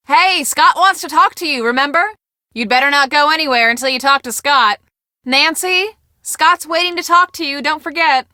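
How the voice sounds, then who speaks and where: An earnest woman who runs a storm-chasing crew in a video game. Her voice is fairly high and very bright, with a brisk, businesslike delivery that takes charge. The sound is close and dry. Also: no room sound. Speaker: storm-chasing team project manager.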